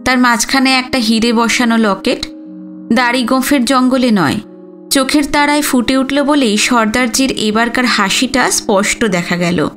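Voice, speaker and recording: Woman, high voice, literary audiobook narration, music underneath. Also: normal speed, a bit bright. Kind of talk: dramatic literary story narration. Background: background music.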